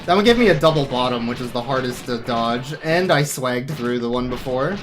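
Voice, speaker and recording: Wry man commentating over loud game music. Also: medium pitch, animated, brisk, low-quality recording. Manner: wry, joking. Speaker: speedrunner. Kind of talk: casual live gameplay commentary.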